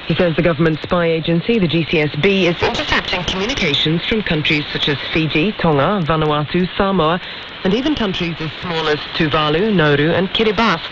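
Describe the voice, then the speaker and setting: A woman with a New Zealand accent presenting radio news, reading headlines and interviewing. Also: lowish voice. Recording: noisy, muffled shortwave radio reception. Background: loud radio static.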